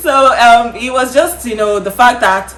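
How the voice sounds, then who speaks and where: A woman's voice: a little high, moderate pace, a bit bright, echoey room, a little hiss or hum, rough recording. A woman from Nigeria with a Nigerian accent, hosting a casual chat and speaking with many fillers and frequent laughter. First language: Yoruba. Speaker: host.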